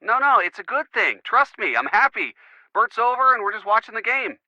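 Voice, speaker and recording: A chatty young American boyfriend in an adventure game. His voice is sharp, bright and fairly high, with slangy, playful delivery. The sound is a little dull and lo-fi, like a radio. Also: a little fast, no echo, exaggerated voice. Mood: pleased. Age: young adult. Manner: jokey, animated, slangy. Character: upbeat, chatty young college quarterback boyfriend. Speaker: voice actor in a video game. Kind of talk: casual phone-call dialogue in an adventure game.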